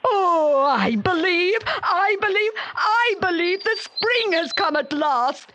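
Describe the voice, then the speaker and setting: A woman voicing a selfish male giant in a children's storybook, plaintive and deliberate as he yearns for spring. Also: very high-pitched, animated, a bit bright, voice pushed up, heavily acted. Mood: excited, hopeful.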